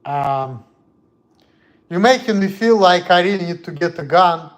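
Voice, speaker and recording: A man's voice: sits high, lively intonation, unhurried. A man from Russia with a Russian accent, talking bluntly and heatedly on a casual livestream in broken English. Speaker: streamer.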